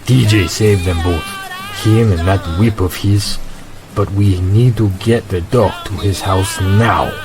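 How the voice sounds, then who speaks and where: A man's voice: lowish voice, measured pace, over music. An actor playing a commanding, matter-of-fact man in charge of a rescue in an audio drama. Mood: urgent, in command. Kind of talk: post-apocalyptic audio drama acting.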